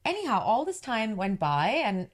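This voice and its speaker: A woman with a deep voice, co-hosting a podcast and telling a story in casual chat while acting out the quoted dialogue. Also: big pitch swings, moderate pace. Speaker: podcast co-host, business owner.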